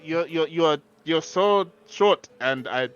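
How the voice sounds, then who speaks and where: A man speaks for his tabletop character, earnest and stammering as he repeats himself. His voice sits a little high, with faint music in the background. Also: slow, fairly bright tone, smooth, highs cut off. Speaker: player. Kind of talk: playing a character in a tabletop game.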